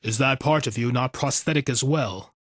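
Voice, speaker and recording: A man's voice: mid-range voice, normal speed, fairly bright tone, dry recording, clean studio sound, natural voice. A man voicing a hard, brooding male gang leader with a terse, intense manner in an audiobook. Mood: tense.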